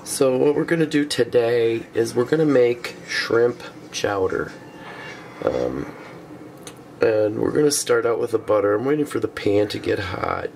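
A man with a hoarse, stuffy voice from a cold talks through a recipe in a small home kitchen. His voice has a gravelly edge, and he takes each step casually, with frequent ums. Faint clinks of pots and pans sit under him. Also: medium pitch, a little mellow, a little hiss or hum, poor audio. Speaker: cooking show host. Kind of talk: casual cooking demonstration.

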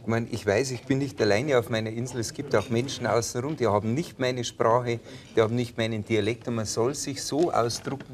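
A man's voice: medium pitch, fairly even intonation, a little fast. A man in his forties with a Bavarian accent, a guest on a talk show, speaking thoughtfully and with measure. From Upper Bavaria. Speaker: guest, mountain climber. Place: tavern-style TV studio set.

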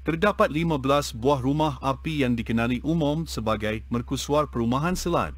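A man reading an educational text aloud in a measured, even way. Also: medium pitch.

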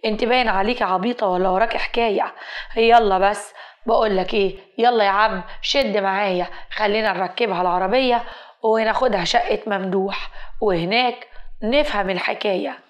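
A woman's voice: mid-range voice, a little fast, close to an everyday voice. A woman storyteller acts a young Egyptian male street thug in a drama. He is leering and pushy, taunting and coaxing like a bully.

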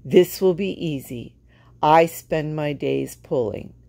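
A woman's voice: low-pitched, slow, creaky, dark tone, full character voice. A woman voicing a confident, hard-working plow horse in a storybook, self-assured and obliging.

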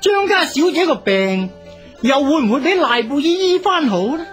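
A man's voice: very high voice, big pitch swings, moderate pace, fairly bright tone, faint music behind, heavily acted. An actor playing an anxious old man and storyteller in a radio drama, exclaiming theatrically. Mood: suspenseful storytelling. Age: older. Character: anxious old male companion of a master, also storyteller.

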